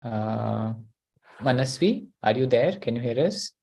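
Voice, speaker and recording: A man's voice: mid-range voice, lively intonation, a little slow, vocal fry, dark tone. A man hosting an online class over a video call. He talks hesitantly, with many uh and you know.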